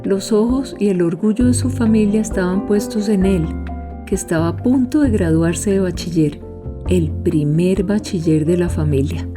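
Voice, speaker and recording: A woman's voice: lowish voice, normal speed, music in the background. A woman delivers scripted documentary narration as a podcast host.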